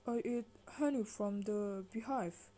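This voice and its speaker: A woman's voice: medium pitch, unhurried, warm tone, lightly acted. A woman voicing a humble, polite young castaway man in a children's puppet-show story. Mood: apologetic, grateful.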